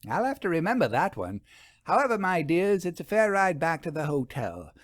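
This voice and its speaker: A man's voice: on the high side, wildly swooping pitch, moderate pace, no room sound, pitched above the performer's own voice. A man voicing a genial, self-assured lady ghost hunter with a genteel, wry manner, in a storybook reading. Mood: wry amusement.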